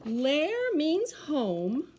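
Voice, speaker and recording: A big, good-natured bear who gets things muddled, played by a woman reading a storybook. He talks simply and earnestly, slowly drawing out his words in a high, lilting voice. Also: huge pitch swings, darkish tone, slight background noise, exaggerated voice. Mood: confused, earnest. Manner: simple, earnest, drawn-out delivery.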